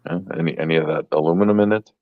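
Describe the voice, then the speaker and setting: A man in his fifties with a very low voice, talking casually and haltingly about his fish tanks. He keeps restarting his sentences and hunting for words. The sound is dry and a little dull, like a modest lo-fi recording. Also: no room sound. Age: 50s. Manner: halting, repeats words, searches for words. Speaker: fish keeper. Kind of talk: casual chat.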